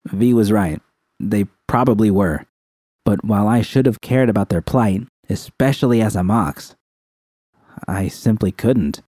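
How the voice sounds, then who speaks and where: A man narrates a story in the first person. His voice sits fairly low and is very soft-edged and mellow, recorded close and dry with no room around it. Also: a little slow, no echo. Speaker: narrator, fan fiction reader.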